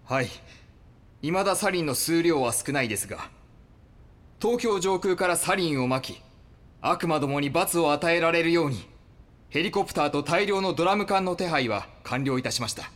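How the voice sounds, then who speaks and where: A loyal male cult lieutenant in a radio-style drama. His voice sits a little high, and he talks formally, with obedient, devoted zeal. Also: lively intonation, normal speed, fairly bright tone. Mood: dutiful. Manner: formal, deferential, reporting. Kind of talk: Japanese audio drama acting.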